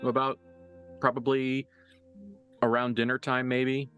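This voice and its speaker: A man acting as game master, narrating a tabletop horror game in halting, deliberate, descriptive phrases. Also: medium pitch, monotone, a bit bright. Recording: music playing behind the voice.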